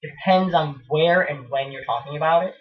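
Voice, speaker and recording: A man's voice: fairly high pitch, moderate pace. Young guy chatting, blunt and self-mocking, kinda far from the mic, muffled. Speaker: co-host. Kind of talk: casual chat. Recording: distant mic, dull lo-fi sound.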